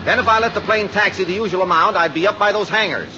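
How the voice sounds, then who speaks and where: An American actor playing an earnest, polite insurance adjuster who flies planes, explaining things in a 1950s radio drama. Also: male voice, high voice, fast, natural voice. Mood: instructive, intent. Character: earnest male insurance adjuster and seasoned pilot. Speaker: supporting actor. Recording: muffled, faintly noisy old radio recording.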